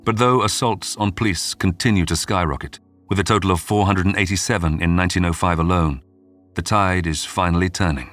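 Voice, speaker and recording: A man reading scripted true-crime narration in a measured, dramatic way with suspenseful pauses. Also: deep voice, steady tone, a bit bright. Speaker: documentary narrator. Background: background music.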